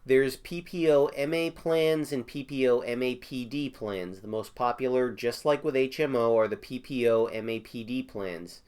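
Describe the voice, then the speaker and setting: Man, hoarse from a cold, casual off-the-cuff explainer, throat clearing, mid pitch. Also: moderate pace, hardly any pauses, darkish tone. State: hoarse, getting over a cold. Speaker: insurance agent.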